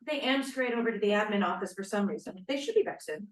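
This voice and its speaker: A woman's voice: mid-range voice, animated, fast, close to an everyday voice. A woman playing a sassy, wisecracking young college student in a 1940s stage play at a table read. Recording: echoey room, distant mic.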